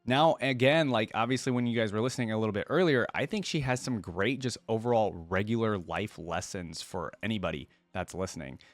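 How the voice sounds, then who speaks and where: A man gives casual reaction commentary as host, talking informally to his viewers. His voice sits fairly low and is recorded close and dry, with faint music underneath. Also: fairly quick, few pauses, dry recording. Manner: informal, conversational, addresses viewers.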